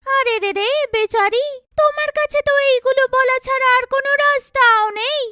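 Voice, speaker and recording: A woman's voice: very high-pitched, moderate pace, sharp tone, no room sound, studio quality, pitched above the performer's own voice. A woman voicing a spiteful young sister in a cartoon, taunting and scornful in a dramatic way. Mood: mocking. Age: young adult. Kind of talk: animated moral-story cartoon acting.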